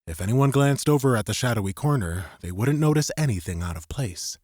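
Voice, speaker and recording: A man narrating scripted fiction as an audiobook, with measured, suspenseful storytelling. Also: medium pitch, animated, darkish tone, dry recording, studio quality.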